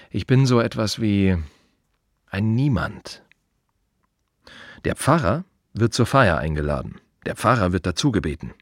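A man playing a reflective, wry gravedigger in an intimate radio play monologue, speaking in musing fragments with a husky voice. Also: low voice, no echo, studio quality. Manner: musing, short fragmentary phrases with pauses. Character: reflective male gravedigger, wry, plain-spoken, quietly observant.

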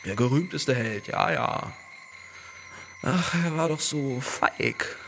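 An actor playing a whiny, sneering tyrant in a radio play, with a nagging tone and theatrically stretched words. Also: male voice, mid-range voice, creaky, fairly bright tone. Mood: sneering, haughty. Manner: theatrical, over-stressed final syllables, drawn-out words. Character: Lykos, whiny, sneering, taunting male tyrant. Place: home, online video call. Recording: noisy home recording.

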